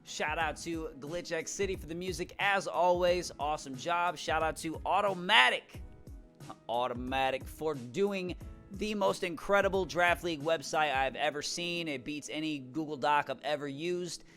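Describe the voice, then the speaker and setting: Young male streamer, high-pitched, hyped and rambling, voice worn thin, small home room. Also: moderate pace, soft background music. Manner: hyped, shout-out heavy, repeats words when excited. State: losing his voice. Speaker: YouTuber and draft league coach. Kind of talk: energetic casual vlog talk.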